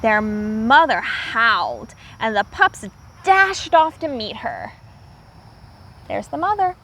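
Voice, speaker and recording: A woman teacher reading aloud to young children, pausing to explain words simply and repeating herself for clarity. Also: fairly high pitch, sing-song, fairly bright tone, slight background noise.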